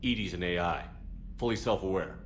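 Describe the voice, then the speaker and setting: An actor playing a weary, resolute military spaceship commander in a video game, terse, steady and grave. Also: male voice, low-pitched, fairly quick, slight background noise, close to an everyday voice. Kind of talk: video game cutscene dialogue.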